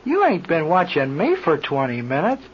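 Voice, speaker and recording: Exasperated folksy mechanic, slangy, very animated delivery, vintage radio serial, muffled noisy recording. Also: male voice, fairly high pitch, normal speed. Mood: baffled, defensive. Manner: drawling colloquial slang, grumbling, comically exasperated. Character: folksy, indignant, slow-moving male chief airfield mechanic. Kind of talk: 1930s radio serial acting.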